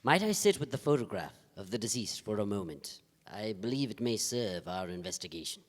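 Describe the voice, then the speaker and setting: A man's voice: on the low side, sing-song, brisk. A man playing a courtly, solemn investigator in a staged reading, with formal, ornate phrasing. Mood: solemn, polite. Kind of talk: live staged play reading. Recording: live theatre, long hall reverb.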